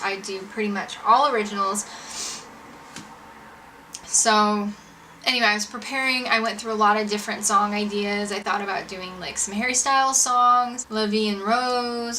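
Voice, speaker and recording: A woman's voice: medium pitch, sharp tone. A young American woman telling a personal story casually to camera, chatty and informal, like a vlogger. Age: young adult. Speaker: vlogger and singer-songwriter. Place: indoors, makeshift home filming setup. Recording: distant mic, roomy home video, background noise.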